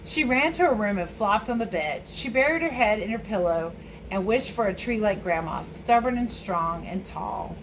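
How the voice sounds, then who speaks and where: Woman doing kids read-aloud and casual explaining, some insects and noise behind her. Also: medium pitch. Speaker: children's read-aloud teacher and host. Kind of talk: storybook reading and casual explaining to viewers.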